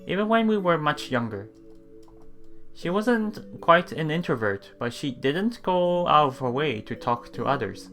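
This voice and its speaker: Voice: medium pitch, a bit bright. A let's play streamer reading a visual novel aloud, stumbling and repeating phrases, with murmured asides. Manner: rereads lines after stumbles, murmured reactions between read passages. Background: game music.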